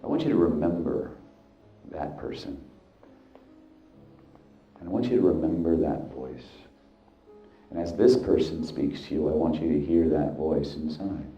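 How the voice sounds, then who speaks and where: Very deep, slow-voiced man guiding hypnosis. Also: mellow. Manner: deliberate, halting short phrases with hypnotic repetition. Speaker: hypnosis guide. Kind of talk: guided hypnosis, slow meditative narration. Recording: echoey, dull sound with music behind. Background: background music.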